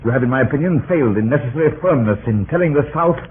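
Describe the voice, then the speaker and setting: A man's voice: mid-range voice, lively intonation, fast talker, close to an everyday voice. A man playing a proud, argumentative cabinet minister in an old radio drama, formal, clipped and indignant. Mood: defensive, indignant. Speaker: actor. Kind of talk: 1940s radio drama acting. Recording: noisy, narrow-band old radio drama recording.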